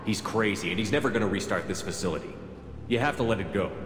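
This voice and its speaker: An actor playing a steady, principled space commander in a video game, calm and firm as he counsels. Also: fairly low pitch, fast talker, a little hiss or hum, natural voice. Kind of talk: dramatic video game cutscene acting. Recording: echoey hall reverb, music behind.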